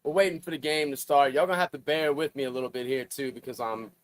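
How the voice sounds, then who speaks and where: A man hosting a live stream and chatting casually with viewers while his voice is going hoarse. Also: mid-range voice, steady tone, fast, no room sound. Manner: laid-back, chatty, asking viewers to like and subscribe.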